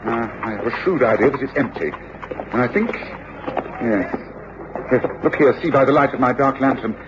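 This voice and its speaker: An actor playing a shrewd, commanding British gentleman detective in a radio drama, speaking precisely and with authority. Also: medium pitch, expressive, moderate pace, lightly acted. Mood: brisk, purposeful. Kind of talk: 1950s-60s radio drama acting. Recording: dull, hissy old radio recording.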